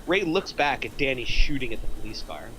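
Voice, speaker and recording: A man's voice: mid-range voice, animated, a little fast, fairly bright tone, slight background noise, poor audio. A man narrating, reading out screenplay stage directions at a table read.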